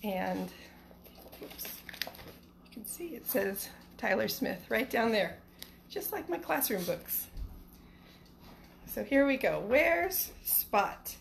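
A middle-aged woman talks to children in a casual storytime manner. Her voice sits a little high, with some fry in it, and she takes her time. It is a homemade phone recording in a living room, with faint noise behind her. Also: lively intonation, slow pace, long pauses. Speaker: elementary school teacher reading storytime. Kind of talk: casual livestream chat and picture-book read-aloud for children. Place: small living room at home, indoors. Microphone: phone at arm's length.

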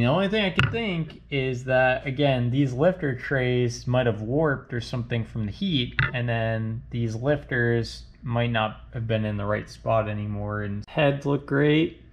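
A man in his thirties talking casually to the camera as he takes apart a car engine in his home garage, thinking aloud with fillers and trailing doubts. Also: medium pitch. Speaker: car channel host. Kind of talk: casual garage commentary while working. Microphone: action camera on body and tripod.

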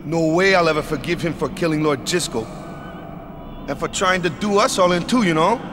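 An actor playing a stubborn, devout, hot-headed young athlete in a video game, talking colloquially with little tag questions. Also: male voice, a little high, normal speed, music in the background, lightly acted. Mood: indignant. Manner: colloquial, tags sentences with yeah, you know.

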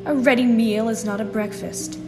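A woman's voice: a little high, fairly even intonation, moderate pace, over music, lightly acted. A woman playing a stern, darkly matter-of-fact grandmother in an audio drama, speaking with dry, threatening politeness.

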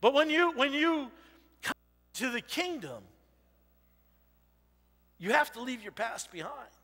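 A man in his forties preaching an informal sermon in a church, talking loudly and animatedly while he walks among the audience. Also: very high voice, a little slow. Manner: loud and animated, walking the stage and aisles. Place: church sanctuary with a stage. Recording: long reverb of a church hall.

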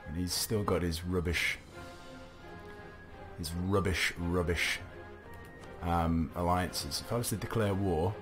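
Deep-voiced man musing over gameplay. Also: steady tone, takes it slowly, long pauses. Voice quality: slightly gravelly. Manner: musing aloud, chatty, self-talking. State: recovering from a cough, slight gravel. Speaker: let's play commentator. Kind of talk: casual gameplay commentary. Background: faint game music.

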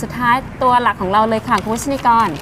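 High-voiced woman co-presenter, noisy recording. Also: fairly even intonation, fast. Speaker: teacher co-presenting a children's puppet story. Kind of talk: polite, short presenter answers.

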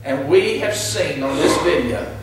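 A middle-aged man with a Southern US accent preaching a sermon at a pulpit, earnest and measured with pauses. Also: fairly high pitch, expressive, heavy background noise. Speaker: pastor. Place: large church sanctuary, at a pulpit. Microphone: pulpit mic, filmed from far back. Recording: echoey church hall from far off.

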